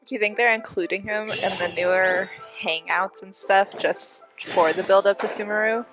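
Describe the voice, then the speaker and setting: A woman's voice: medium pitch, steady tone, normal speed, over music, rough recording. A congested woman game streamer reacting casually to a playthrough, gushing and easily amused.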